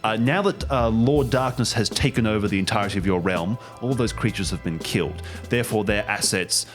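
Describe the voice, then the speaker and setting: Man playing an officious, halting otherworldly bureaucrat over prominent music. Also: on the low side, close to an everyday voice. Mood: officious, explanatory. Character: harried male bureaucratic agent of a goddess company.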